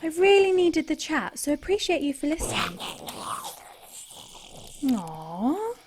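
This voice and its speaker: A woman's voice: high-pitched, big pitch swings, slow pace, noisy background, full character voice. An actress playing a tough, blunt young female monster hunter in an audio drama. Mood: brooding. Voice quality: brash, sweary. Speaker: lead character.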